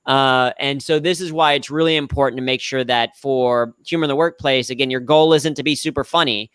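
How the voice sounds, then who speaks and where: A man's voice: mid-range voice, fairly even intonation, brisk, a bit bright, clean tone, dry recording. A young adult man with a slightly nasal voice, a guest explaining humor at work in an upbeat, self-deprecating way. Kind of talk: conversational interview answers.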